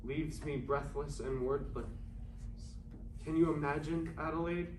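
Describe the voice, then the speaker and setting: A man's voice: a little high, fairly even intonation, a little slow, natural voice. A man playing a lovesick 1920s writer, reading his love letters earnestly and poetically in a staged reading. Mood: yearning. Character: lovesick male writer in 1920s Paris, yearning and fearful. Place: indoor performing arts academy, mic far off. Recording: distant, echoey, noisy live stage recording.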